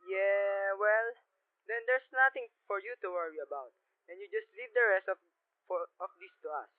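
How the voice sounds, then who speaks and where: A teenage boy plays a police desk sergeant in a radio drama, his man's voice quite high and youthful. He is placating and condescending, brushing worries aside, and the recording is dry and thinly muffled. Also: normal speed, no room sound, natural voice. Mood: dismissive. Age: teens, ninth-grade student. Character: unhurried, dismissive male police desk sergeant. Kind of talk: radio play acting. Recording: muffled, lo-fi amateur radio play sound.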